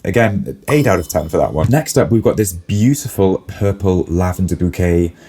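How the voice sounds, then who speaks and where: Male florist, low and a bit hoarse-edged, snuffly from a cold, relaxed hedging reaction-video delivery. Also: moderate pace. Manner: musing, hedging, lots of "like" and "I think". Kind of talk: casual reaction commentary.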